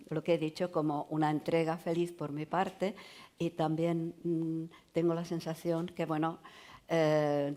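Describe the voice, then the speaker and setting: Hesitant low-voiced woman writer, non-native Spanish. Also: mellow. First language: not Spanish. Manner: hesitant, many pauses and fillers. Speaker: exiled writer on a panel. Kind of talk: conversational panel talk.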